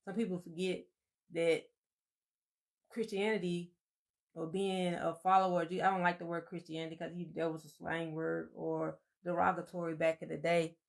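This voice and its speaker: Soft-spoken woman with gravelly fry. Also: fairly low pitch, flat delivery, moderate pace. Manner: meandering, reflective storytelling with many fillers. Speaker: co-host of a live chat. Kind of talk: casual live-stream chat.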